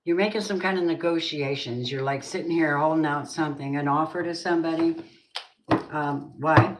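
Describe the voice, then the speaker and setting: An older woman doing a live tarot reading, chatty and rambling, warmly calling her viewers babies. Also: low voice, normal speed. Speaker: tarot reader. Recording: noisy home stream with clicks.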